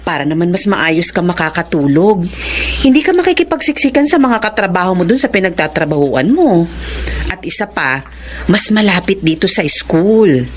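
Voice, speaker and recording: A woman's voice: on the low side, normal speed, a little hiss or hum. A woman playing a kind, motherly, encouraging teacher in a Filipino radio drama. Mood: persuasive, friendly. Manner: animated, encouraging.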